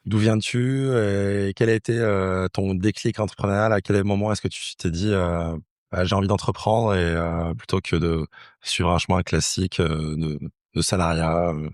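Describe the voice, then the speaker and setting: Low-voiced male podcast host. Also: a little mellow, no echo, clean studio sound. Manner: informal, chatty, hesitant fillers. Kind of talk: casual interview questions.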